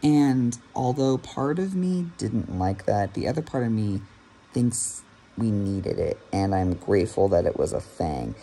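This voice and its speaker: Bright-voiced young man, rambling casually. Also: on the low side, lively intonation, measured pace. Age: young adult. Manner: wry, self-deprecating asides. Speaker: podcast reviewer. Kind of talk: casual rambling review to camera. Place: small room indoors. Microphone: phone at arm's length. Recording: clear background room noise.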